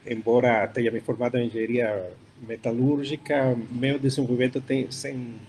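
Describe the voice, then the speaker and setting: Older Chilean-accented man, mellow voice. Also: mid-range voice, little pitch movement, slight background noise. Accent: Chilean Spanish. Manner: unhurried, many fillers and restarts. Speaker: startup founder panelist. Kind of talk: hybrid panel talk.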